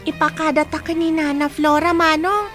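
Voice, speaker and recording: Woman acting young girl, very high voice, Ilocano accent, affectionate, eager, radio drama. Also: fairly even intonation, normal speed, loud music behind the voice, natural voice.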